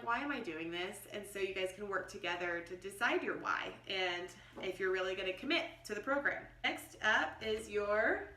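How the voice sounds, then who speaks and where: A woman's voice: mid-range voice, sharp tone. A middle-aged American woman chatting informally as she explains things in a casual unboxing review. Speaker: homeschooling vlogger and product reviewer. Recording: roomy echo, distant mic.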